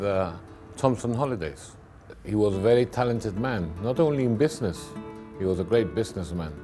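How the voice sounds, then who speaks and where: Middle-aged guy, lowish voice, thoughtful, stops and restarts a lot, guitar music going behind. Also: darkish tone. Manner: reflective, halting with repeated words. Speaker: family business owner. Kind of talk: documentary interview.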